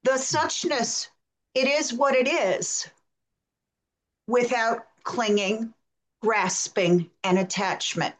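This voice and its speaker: A woman with a high voice asks her question very slowly, choosing her words with care and pausing as she goes. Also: poor audio. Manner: thoughtful, hesitant phrasing. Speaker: participant asking a question.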